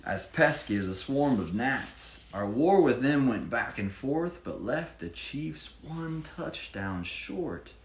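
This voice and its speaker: A man's voice: medium pitch, expressive, takes it slowly, few pauses. A man, a children's book author, reading a rhyming picture book aloud with dramatic emphasis and informal asides. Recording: echoey room, faint background noise.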